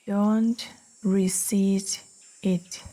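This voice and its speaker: A woman narrating a guided meditation in a soft, soothing, breathy manner, leaving long pauses between short phrases. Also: mid-range voice, little pitch movement. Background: birdsong.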